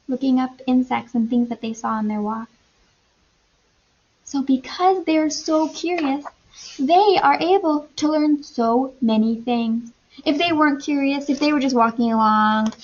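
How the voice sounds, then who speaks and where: A woman teacher doing an animated read-aloud for young children, pausing to ask questions and explain in simple, repetitive wording. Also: a little high, muffled recording.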